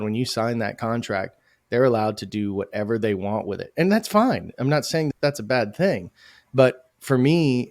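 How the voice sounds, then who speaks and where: Male guest, low voice, animated and emphatic, podcast interview, dry close sound. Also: dry recording. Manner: animated, emphatic, self-correcting. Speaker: crypto project founder.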